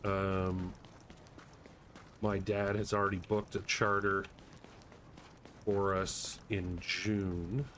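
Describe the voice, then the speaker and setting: Low-voiced man streaming a game over noise. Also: flat delivery, very slow, long pauses, a bit bright. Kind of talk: casual chat while gaming. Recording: clear background noise and game ambience.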